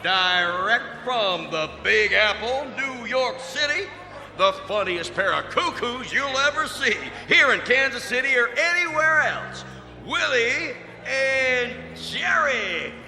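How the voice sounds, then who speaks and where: A man's voice: very high voice, animated, takes it slowly, sharp tone, full character voice. An actor playing a brassy male nightclub emcee with showy announcer delivery in a radio drama, in an echoing hall. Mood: showy, rousing. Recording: echoey hall, long reverb.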